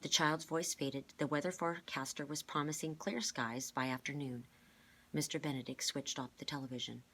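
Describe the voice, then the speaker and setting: Female storyteller, low husky register, expressive children's audiobook read. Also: moderate pace. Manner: expressive storytelling with distinct character voices. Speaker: reader. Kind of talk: reading a children's novel aloud.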